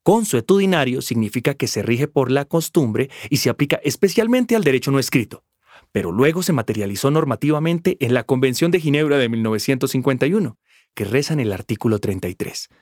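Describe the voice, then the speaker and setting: A man playing a lawyer who patiently explains migrants' rights in a measured, formal way. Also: mid-range voice, animated, darkish tone, dry recording, clean studio sound. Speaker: lawyer at a public rights office. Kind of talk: scripted explanatory radio drama.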